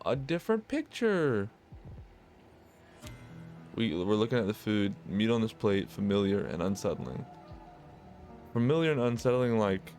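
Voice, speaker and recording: A man with a fairly low voice hosts a visual novel playthrough. He moves between reading the game's text and casual, self-deprecating asides, with faint music playing behind him. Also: darkish tone. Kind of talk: reading game text aloud with casual commentary.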